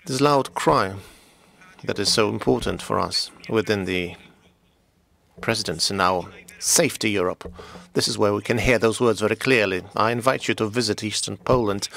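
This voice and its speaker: A man interpreting conference speeches in English, delivering them in a measured, steady way and sometimes dropping almost to a whisper. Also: fairly low pitch, vocal fry. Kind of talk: simultaneous interpretation.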